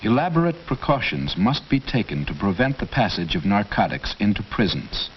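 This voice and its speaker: A man narrating a 1950s police training film in a formal, measured, authoritative way. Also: lowish voice, big pitch swings. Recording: dull old film soundtrack with loud hiss.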